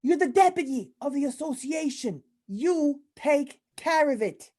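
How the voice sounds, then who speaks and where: Lady doing a grumpy bossy italian american mob boss guy, radio comedy skit, higher voice, very up and down. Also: animated, unhurried, warm tone. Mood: bossy, irritated. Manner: gruff, bossy, exasperated wiseguy talk. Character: irritable tough Italian-American male mob boss, secret pizza lover. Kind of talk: amateur old-time radio comedy play.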